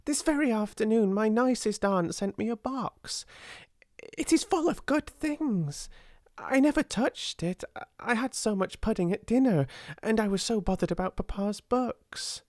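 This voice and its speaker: Woman as a high, earnest, timid little schoolgirl. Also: a little mellow, no echo, studio quality, natural voice. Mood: excited. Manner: halting, repeats words, earnest. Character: timid, kind, slow-witted young schoolgirl, easily awed.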